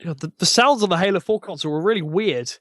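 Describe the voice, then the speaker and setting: A man with a fairly high-pitched voice chats casually on a gaming podcast. He is blunt and opinionated, and the sound is close and dry with no room on it. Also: fast talker, fairly bright tone, no echo. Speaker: podcast panelist.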